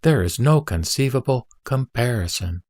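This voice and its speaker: A man playing the Buddha as a serene, authoritative teacher, with deliberate, emphatic phrasing in a scriptural audio drama. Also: medium pitch, lively intonation, a little mellow, dry recording, studio quality, lightly acted. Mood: calm, instructive.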